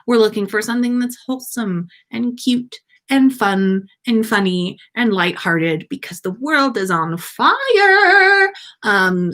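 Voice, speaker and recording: Slow-paced woman reading and chatting playfully. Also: medium pitch, fairly bright tone. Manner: playful, informal. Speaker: podcast host and story reader. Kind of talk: storytelling read-aloud and casual livestream chat.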